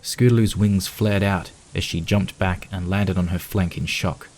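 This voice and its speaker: A man's voice: low voice, steady tone, normal speed, noisy background. A man reading scripted story narration as the narrator of a piece of fan fiction.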